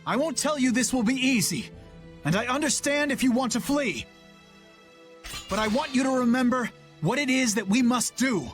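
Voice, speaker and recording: An actor playing an earnest, principled young knight captain in a video game, speaking in a measured, thoughtful way. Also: male voice, extremely high pitch, a bit bright. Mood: rousing. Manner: measured and reflective, rising to a rousing rally. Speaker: knight commander.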